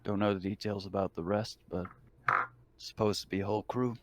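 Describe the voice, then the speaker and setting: Deep, gravelly world-weary army medic played by a man. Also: steady tone, a little fast, very noisy, lightly acted. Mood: guarded. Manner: laconic, dry. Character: gruff, world-weary male military medic. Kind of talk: improvised tabletop role-play.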